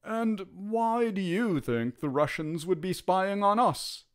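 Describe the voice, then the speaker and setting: A man voicing a curt, grudging British army lieutenant, brisk and commanding, in a storybook. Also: high voice, lively intonation, clear, resonant tone, pitched above the performer's own voice.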